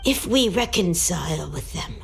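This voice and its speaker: An actress playing a grave, pleading islander in a video game, with a husky, breathy voice and solemn delivery. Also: female voice, fairly low pitch, animated, moderate pace, a little hiss or hum. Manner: solemn, imploring. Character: grave, pleading female islander, unwilling to make peace. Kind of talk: scripted video game voice acting.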